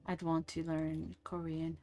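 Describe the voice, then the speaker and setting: A woman's voice: deep voice, monotone, warm tone. A young woman whose first language is French, chatting casually and rambling with fillers while she streams a game. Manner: slow, rambling, many fillers and restarts.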